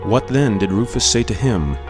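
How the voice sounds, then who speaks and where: A man reading philosophy as a scripted dramatic monologue, in a measured, deliberate manner. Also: fairly low pitch, expressive. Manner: measured, deliberate delivery in a radio monologue style. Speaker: audiobook reader. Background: music.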